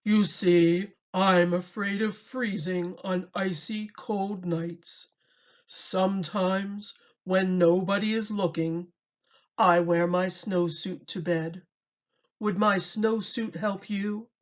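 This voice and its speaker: A woman voicing a big, gruff polar bear in a children's storybook, growling and gravelly as it confides in someone. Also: mid-range voice, steady tone, takes it slowly, lightly acted. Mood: gruff, confiding. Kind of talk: children's storybook read-aloud. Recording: dull lo-fi recording.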